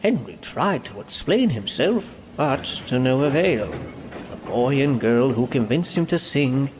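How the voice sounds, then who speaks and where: A man's voice: medium pitch, big pitch swings, measured pace, background noise. A man narrating a scripted children's-style story as a storyteller. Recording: loud music behind the voice.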